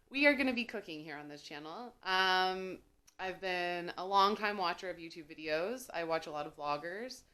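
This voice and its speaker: A woman's voice: mid-range voice, a bit bright. A young woman vlogging about learning to cook, chatting casually to camera with many fillers while getting over a cold. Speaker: cooking vlogger.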